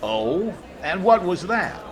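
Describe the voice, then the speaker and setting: Man playing fussy, lively-pitched radio comedian. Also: slow, exaggerated voice. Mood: bemused. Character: fussy, stingy male comedian, mild and bemused. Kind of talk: 1940s radio comedy acting. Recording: noisy, laughing audience behind.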